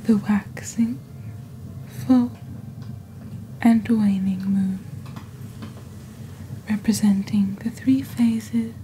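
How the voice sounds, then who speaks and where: Slow, whispery young witch played by a woman. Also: medium pitch, steady tone, a little hiss or hum, rough recording. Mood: soft, soothing. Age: young adult. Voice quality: soft, breathy near-whisper. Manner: slow, deliberate, gently formal. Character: genteel witch hosting a shopping channel. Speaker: ASMR performer. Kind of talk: soft-spoken ASMR roleplay.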